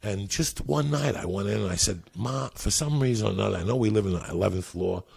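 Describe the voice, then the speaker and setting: A man in his fifties, born in Cuba and raised around New York, tells stories fast and profanely. His voice is very deep, gravelly and husky, and it sounds a little stoned. It is recorded dry and close. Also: a little mellow, no echo. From Cuba, raised in New York and New Jersey. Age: 50s. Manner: profane, streetwise, rapid storytelling. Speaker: guest comedian. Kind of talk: storytelling.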